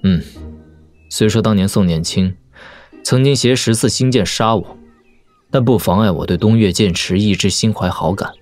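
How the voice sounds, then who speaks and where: Man acting a low-voiced, easygoing young wuxia prince. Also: moderate pace, darkish tone, no room sound, faint music behind, natural voice. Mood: wistful, calm. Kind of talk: Chinese audio drama acting.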